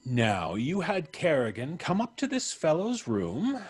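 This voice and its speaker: An actor playing a polite, probing, quietly menacing visitor in an old-time radio mystery, musing deliberately with frequent hums. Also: male voice, fairly high pitch, huge pitch swings, slightly rough, heavily acted. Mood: probing, courteous.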